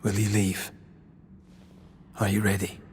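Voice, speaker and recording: A man playing a grieving, devoted banisher of ghosts in a video game cutscene, solemn and measured, with old-fashioned phrasing. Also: on the low side, completely flat delivery, slight background noise. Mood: solemn, gentle.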